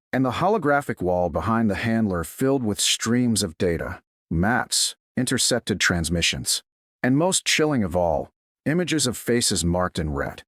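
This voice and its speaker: A man reading scripted dramatic fiction as a narrator, in a measured, solemn way. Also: fairly low pitch, a bit bright, no echo, clean studio sound. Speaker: story narrator.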